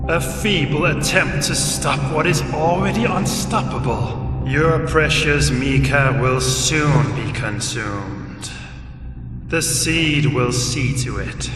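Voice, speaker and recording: A man's voice: on the high side, slow pace, distant mic. An actor playing a gloating old villain in a video game, pompous and mock-polite as he taunts. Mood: scornful gloating. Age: older. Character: gloating old male villain, menacing and theatrical. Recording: cavernous long reverb, loud music behind.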